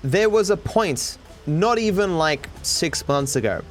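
A man's voice: sits high, animated, a little slow, faint music behind. Tired man with a cultivated Australian accent. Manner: musing, trailing off with fillers and restarts. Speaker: streamer answering viewer questions. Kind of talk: casual rambling Q&A.

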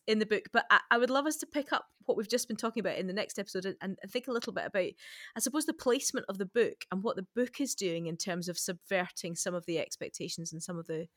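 A woman's voice: a little high, no echo, studio quality. A woman hosting a conversational discussion in a thoughtful, tentative manner that seeks agreement. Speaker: podcast host.

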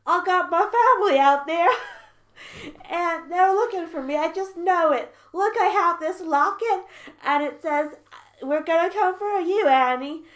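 A woman's voice: high-pitched, fairly bright tone, voice pushed up. A woman voicing a plucky young orphan girl in a hammy, drifting mock Brooklyn accent. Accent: exaggerated mock Brooklyn, drifting. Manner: hammy, over-the-top. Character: plucky young orphan girl, brash New York street kid.

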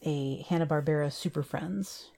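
Low, mellow-voiced woman chatting about trivia. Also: normal speed, vocal fry. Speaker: podcast co-host. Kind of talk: casual trivia discussion.

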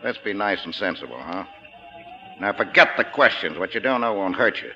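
A cocky American gangster boss in a 1940s radio drama. His low voice swings widely and animatedly, mock-friendly but domineering and menacing, on a dull old recording. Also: animated, normal speed, bright, forceful tone, faint music behind, exaggerated voice. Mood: smug, menacing.

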